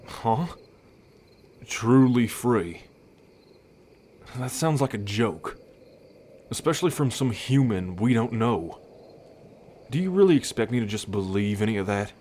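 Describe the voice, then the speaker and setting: A man playing a wary, wounded half-blood bull man in an ASMR roleplay, speaking in a halting, guarded way. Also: mid-range voice, animated, a little mellow, a little hiss or hum, close to an everyday voice. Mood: suspicious. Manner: halting, guarded, hesitant delivery. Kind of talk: ASMR audio roleplay acting.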